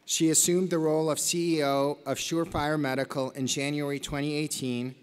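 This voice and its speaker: A man's voice: on the high side, little pitch movement, smooth. Man formally introducing a speaker. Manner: measured, reads a prepared bio. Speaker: ceremony presenter. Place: large arena.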